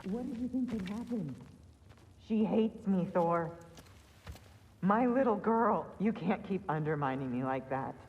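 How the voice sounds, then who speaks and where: A woman's voice: mid-range voice, expressive, measured pace, in a large hall, highs cut off. An actress playing a worried queen who speaks earnestly and firmly to her family. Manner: earnest and firm.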